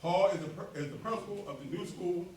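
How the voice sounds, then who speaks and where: A man presiding over a neighborhood meeting, talking emphatically and working up to near shouts as he urges the room. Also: high-pitched, quick pace, a little hiss or hum. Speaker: association president hosting a meeting. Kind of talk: animated speech to a community meeting. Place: community meeting hall. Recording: roomy hall, mic from a distance, faint ambience.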